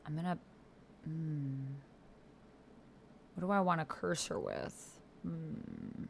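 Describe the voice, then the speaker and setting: A woman's voice: low-pitched, fairly even intonation, slow, vocal fry, slight background noise. A woman livestreaming in a casual, slangy way, reacting expressively and thinking aloud as she goes. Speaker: YouTuber livestreaming a text roleplay game. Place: indoors at a computer.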